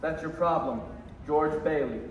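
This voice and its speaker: Echoey, high-ish voiced senior angel played by a man. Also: a little slow, lightly acted. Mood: explaining. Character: senior angel, male superintendent of heaven, calm and authoritative. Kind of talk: stage radio play acting. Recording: echoey stage from far off, noisy.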